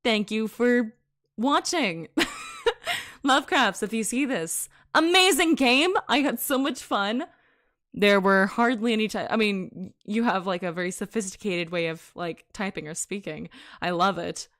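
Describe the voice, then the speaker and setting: A woman streamer reading a visual novel aloud and commenting on it, excitable and gushing, often giggling. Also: mid-range voice, measured pace. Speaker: VTuber streamer.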